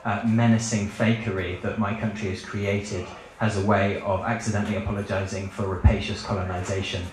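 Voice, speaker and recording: A British man performs live, talking to the crowd in a wry, offhand manner. His voice is fairly low. It bounces around a large, very echoey hall. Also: level tone, brisk, background noise. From United Kingdom. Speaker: performance poet. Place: book fair event room.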